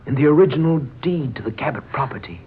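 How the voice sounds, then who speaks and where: A man's voice: a little high, animated, fast, husky. An actor playing a bewildered, haunted man possessed by a long-dead ancestor, in 1940s radio drama style. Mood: solemn intensity. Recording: muffled, hissy 1940s radio recording.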